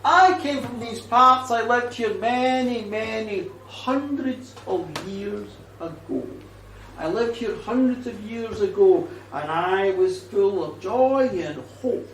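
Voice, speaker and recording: A man's voice: sits high, takes it slowly, a bit bright. A man telling as a mournful ghost woman. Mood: grim. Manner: mournful, solemn first-person telling. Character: vengeful female ghost, centuries old. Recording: roomy and distant, faint noise.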